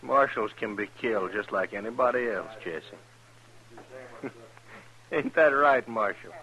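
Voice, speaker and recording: Low-voiced, cocky cowboy. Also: male voice, expressive, measured pace, pushed and bright, exaggerated voice. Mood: taunting. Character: cocky, menacing male cowboy, gunslinger's companion. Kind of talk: 1950s radio western acting. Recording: noisy old radio recording.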